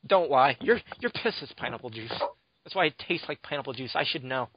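A man's voice: mid-range voice, animated, brisk, noisy background. Crude joking man on a podcast. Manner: crude teasing banter. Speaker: podcast host. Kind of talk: casual chat. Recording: dull lo-fi dry podcast mic.

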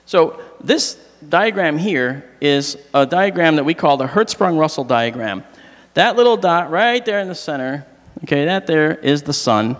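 A middle-aged man with a slightly hoarse voice gives a joking, animated astronomy lecture on stage into a handheld mic. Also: on the high side, a little slow. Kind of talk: public lecture with jokes. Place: large hall, on stage. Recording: long reverb of a large hall.

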